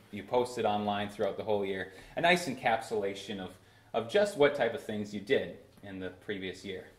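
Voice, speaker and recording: A young man in his twenties preaching a sermon from a church pulpit, with bursts of emphasis and slow, drawn-out phrases. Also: on the low side, a little mellow. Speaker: pastor. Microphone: far camera in a roomy church. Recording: echoey church room.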